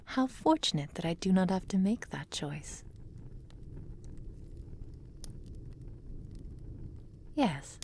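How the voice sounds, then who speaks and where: A woman playing a proud, lovelorn immortal witch in an intimate ASMR roleplay, speaking softly and breathily in formal, deliberate phrases, often in a whisper. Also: fairly low pitch, a little hiss or hum. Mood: adoring, satisfied. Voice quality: soft, breathy, frequently whispered.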